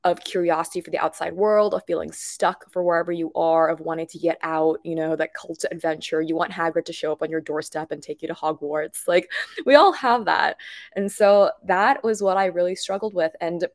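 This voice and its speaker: A woman with a lowish voice talks as a guest in a conversational interview. She is chatty and expressive as she tells personal stories, and the sound is dry and close. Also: a little fast, no room sound. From Thailand, grew up in Bangkok.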